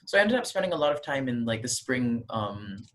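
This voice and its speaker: A man's voice: mid-range voice, sing-song, brisk, fairly bright tone. A young man, an author being interviewed from home, talking casually with a rambling, self-deprecating manner. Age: young adult. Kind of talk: casual interview over a call. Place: home room with a bookshelf.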